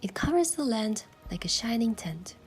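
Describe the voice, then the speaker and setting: A woman playing a sincere young heroine, narrating her romance story in a soft, measured and expressive way. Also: a little high. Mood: reflective narration. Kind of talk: scripted first-person story narration and dialogue. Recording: music behind the voice.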